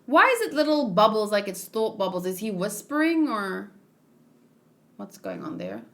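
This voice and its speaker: A woman's voice: medium pitch, wildly swooping pitch, moderate pace, a bit bright. A woman streaming a casual manga read-along, musing aloud and chatting with viewers as she goes.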